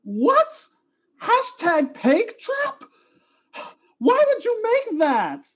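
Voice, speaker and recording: A woman's voice: extremely high pitch, huge pitch swings, drawn-out words, heavily acted. A woman playing a shameless livestreaming influencer in a comic mystery, slangy and self-absorbed. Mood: indignant.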